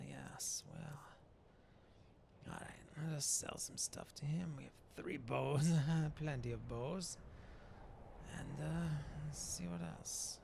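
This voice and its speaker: A man voicing a mild, polite Dark Elf shaman in game commentary, musing hesitantly with mannered courtesy. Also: a little high, gravelly, background noise, heavily acted. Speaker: gamer narrating a roleplay video.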